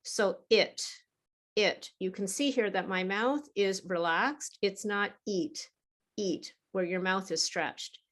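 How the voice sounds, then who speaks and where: A middle-aged woman gives an English pronunciation lesson at an unhurried, deliberate pace. Her voice sits in an ordinary middle range, and she draws out each example word so that its vowel comes through clearly. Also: mid-range voice, a bit bright. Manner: patient, over-articulates target vowels for the learner. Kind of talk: slow, deliberate teaching with repeated example words.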